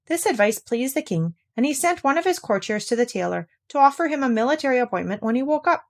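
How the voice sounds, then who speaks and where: A woman's voice: high voice, lively intonation, fast. A woman narrating a classic fairy tale, reading aloud from the text as a storyteller.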